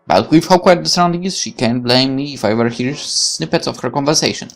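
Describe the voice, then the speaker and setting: A woman's voice: a bass voice, fast, vocal fry. Woman, let's player, reading game text, opinionated asides, exclamatory, swears freely, music behind. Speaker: let's player reading a visual novel aloud. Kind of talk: reading game text with candid side commentary.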